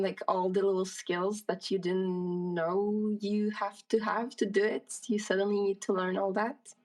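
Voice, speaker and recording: Slow-spoken woman, distant from the mic. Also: medium pitch, darkish tone. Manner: slow, thoughtful, with many fillers and restarts. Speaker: solo game developer. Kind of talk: casual interview answers.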